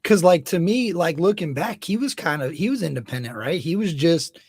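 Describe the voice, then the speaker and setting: A slightly high-voiced man hosts a casual chat, eager and full of slang. His voice sounds dry and close, with no room around it. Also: lively intonation, moderate pace, a little mellow, husky, no echo. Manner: enthusiastic, slangy, calls people dog and bro. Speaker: host.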